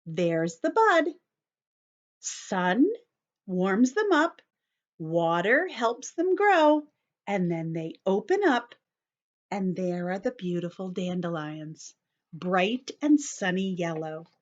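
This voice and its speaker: A woman leads story time for little children at an unhurried pace, her voice swinging widely in pitch. She reads from a picture book and explains it simply, like a teacher checking that they follow. The sound is slightly dull and lo-fi. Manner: animated, teacherly, asking the listeners questions.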